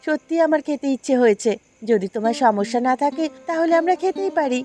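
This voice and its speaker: A woman's voice: high voice, lively intonation, fast talker, dark tone. A woman voicing an eager, childlike young daughter-in-law from the city in a cartoon. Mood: eager, longing. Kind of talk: Bengali cartoon fairy-tale voice acting. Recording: music under the voice.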